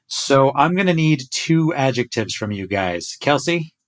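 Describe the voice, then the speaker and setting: A man's voice: mid-range voice, expressive, unhurried. A man hosting a casual podcast conversation, playful and upbeat with a joking manner. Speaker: podcast host.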